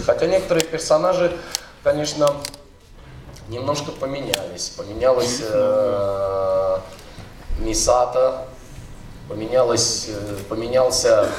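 A man's voice: medium pitch, unhurried, pauses often, a crowd in the background. A middle-aged man talks loosely into a handheld mic on a hall stage, drifting through fillers and restarts. His voice sits mid-range for a man. It comes from a distance, with the room ringing around it. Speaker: seminar speaker, dubbing director. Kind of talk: informal seminar talk. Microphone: handheld mic, filmed from the audience. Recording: echoey hall, recorded from far off.

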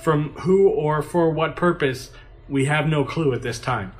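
A man's voice: fairly high pitch, measured pace, slight background noise, lightly acted. A man playing an earnest space agency chief in an audio drama, giving a formal, precise briefing. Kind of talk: science fiction audio drama acting.

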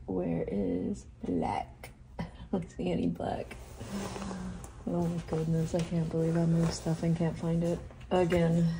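A middle-aged woman with a croaky voice chatting casually while unboxing nail art supplies, thinking aloud with lots of fillers. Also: fairly low pitch, slow, dark tone, slight background noise, rough recording. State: croaky from a sore throat. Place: tabletop crafting desk, camera overhead.

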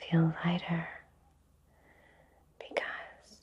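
A woman whispering breathily in a soothing, reassuring way with long pauses, guiding an ASMR Reiki session. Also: highs cut off. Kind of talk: whispered ASMR personal attention.

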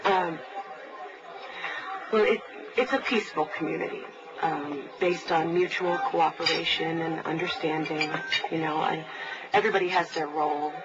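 A woman playing a nervous, chatty mother and client in a naturalistic audio drama, halting and apologetic. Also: sits low, steady tone, natural voice. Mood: nervous, hesitant. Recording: lo-fi tape, noisy cafe.

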